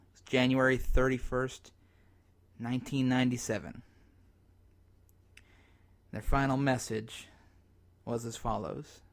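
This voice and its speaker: Guy doing a hopeless zombie apocalypse radio host, medium pitch, dramatic broken-up delivery, radio play monologue. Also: natural voice. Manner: halting, dramatic, broken into short phrases. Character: despairing male amateur radio broadcaster in a zombie apocalypse.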